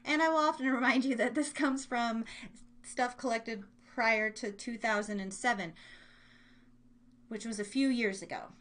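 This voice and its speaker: A woman's voice: on the high side, fairly even intonation, measured pace, a bit bright. An American woman casually hosting a live online show, rambling along in a bubbly, informal way with her listeners. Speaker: host of a live online radio show and podcast.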